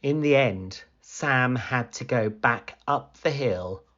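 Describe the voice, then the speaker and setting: A woman reading a picture book aloud for children in an animated, deliberate storytelling manner. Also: very deep voice, lo-fi sound.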